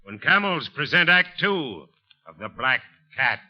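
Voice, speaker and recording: A man narrating a 1940s radio drama in a measured, solemn announcer's style. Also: mid-range voice, big pitch swings. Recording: dull old radio broadcast with music. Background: music.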